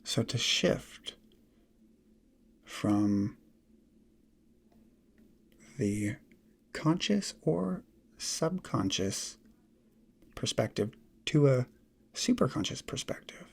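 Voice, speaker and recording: A man guiding a meditation in soft, breathy, measured short phrases with long pauses, often trailing into a near whisper. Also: mid-range voice, sing-song. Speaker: meditation guide. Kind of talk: guided meditation, slow instruction.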